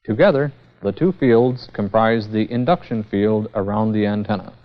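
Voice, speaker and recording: A man's voice: on the low side, normal speed. A man giving a formal, methodical scripted technical lecture as the instructor in a vintage educational film. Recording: dull, band-limited vintage 16mm film sound.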